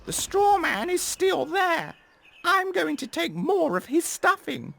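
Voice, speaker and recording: A shrill, loud storybook crow. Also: sing-song, takes it slowly, vocal fry, full character voice. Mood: gloating. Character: boastful greedy male crow, panics easily.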